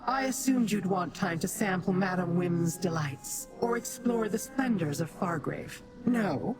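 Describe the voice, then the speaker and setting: Haughty, animated demon warrior. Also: female voice, fairly low pitch, music in the background. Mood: businesslike, commanding. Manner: formal, imperious, deliberate. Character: proud, haughty female demon warrior allied to a mortal. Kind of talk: fantasy game quest dialogue. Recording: clear background noise, game ambience.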